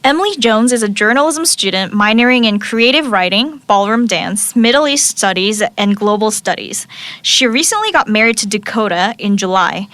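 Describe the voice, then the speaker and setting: A woman's voice: medium pitch, animated, moderate pace, a bit bright, no room sound. A woman hosting an interview podcast, politely introducing her guest from notes and asking questions. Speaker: podcast host.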